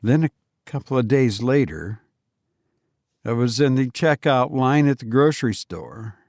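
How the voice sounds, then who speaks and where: A male podcast host talks slowly and informally, as if telling a story of his own. His delivery is halting and hesitant, with a mid-range pitch. The sound is close and dry. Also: a little mellow, dry recording. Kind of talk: informal podcast hosting and first-person storytelling.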